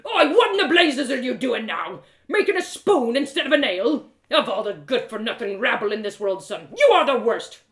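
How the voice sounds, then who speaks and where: A woman's voice: a little high, very animated, moderate pace, hardly any pauses, fairly bright tone. A woman voicing an angry, bitter old male blacksmith with a menacing, threatening manner and rustic speech, as part of a storybook reading. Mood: furious, scolding. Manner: bellowing, threatening, rustic dialect. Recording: roomy echoing sound.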